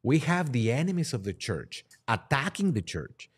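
A man speaking as a priest and podcast guest, halting as he discusses religion and poses questions. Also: mid-range voice, very animated, husky.

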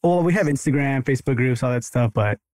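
A man hosting a podcast interview, casual and agreeable, chiming in with quick replies. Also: mid-range voice, a little mellow, no echo, clean studio sound. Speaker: podcast host.

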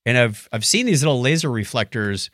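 A fast-talking man co-hosting a casual science chat, animated and given to acting out little quips. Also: medium pitch, dry recording, clean studio sound. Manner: animated, acts out quips in mock voices. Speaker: podcast co-host.

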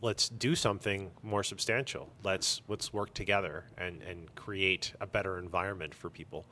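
A man's voice: sits low, fairly even intonation, normal speed, bright, forceful tone. A man talking casually and emphatically in an interview, giving blunt opinions as a shop owner.

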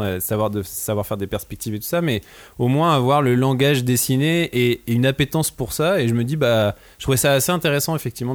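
A man talks at length in a casual podcast discussion, circling his points and restarting often. His voice has an average pitch for a man. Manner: chatty, rambling with frequent restarts. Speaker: podcast co-host.

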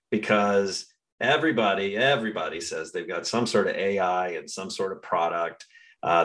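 A man hosting a podcast, chatty and lively, with frequent filler sounds. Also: lowish voice. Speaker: podcast host. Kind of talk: casual interview chat.

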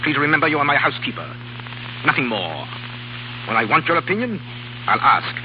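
Jealous older baron, imperious, sarcastic, highly animated, muffled 1930s radio drama. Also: male voice, brisk. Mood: savage, jealous. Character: jealous, ailing, domineering baron. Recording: noisy, hissing, dull 1930s radio recording.

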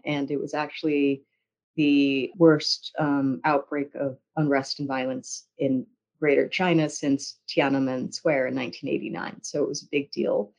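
A woman with an American accent telling her story conversationally as an interview guest, in a measured way with frequent pauses. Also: sits low, a little mellow. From United States. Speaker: interview guest, journalist and author. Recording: dull, lo-fi audio.

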